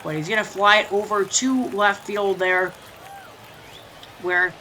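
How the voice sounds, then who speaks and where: A man's voice: sits high, steady tone, slow pace, pushed and bright, a little hiss or hum, rough recording. A young man with a stuffy, congested voice doing chatty play-by-play commentary over a baseball video game, full of fillers and restarts and rising when a big play happens.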